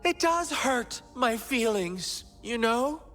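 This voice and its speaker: An actress playing a sly, teasing villainess in a video game, with a drawling, mock-hurt, theatrical delivery. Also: female voice, fairly high pitch, animated, takes it slowly, a bit bright, in a large hall, faint music behind.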